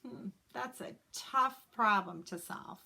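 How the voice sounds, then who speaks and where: Female storybook reader, mid-pitched, unhurried and expressive, read-aloud for young children. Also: creaky, breathy edge.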